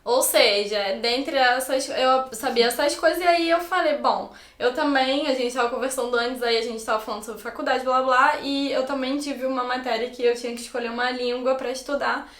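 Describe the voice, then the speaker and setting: A young woman with a Brazilian Portuguese accent chats casually, her voice sitting high. She laughs readily and sometimes trails off before restarting. The room around her is noticeably echoey. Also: fairly even intonation, moderate pace, few pauses, a bit bright. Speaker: channel host. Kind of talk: casual chat.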